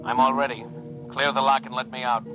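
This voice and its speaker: A man's voice: medium pitch, flat delivery, a little fast, lo-fi sound, over loud music, lightly acted. An actor playing an eager, earnest young space officer in a 1950s radio drama, respectful and hopeful, addressing his captain as sir. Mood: alert. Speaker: ship's officer.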